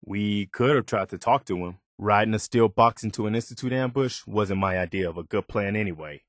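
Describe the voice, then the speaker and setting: An actor playing an earnest, dutiful militia soldier in a post-apocalyptic video game, speaking in a plain, folksy way. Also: male voice, on the low side, no room sound, studio quality, lightly acted. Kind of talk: video game companion voice lines.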